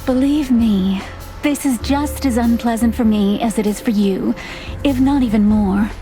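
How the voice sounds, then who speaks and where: A woman's voice: fairly high pitch, unhurried, over music, natural voice. A woman playing a timid but dutiful young fawn secretary in a film-noir video game, polite, reserved and a little hesitant yet composed. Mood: guarded.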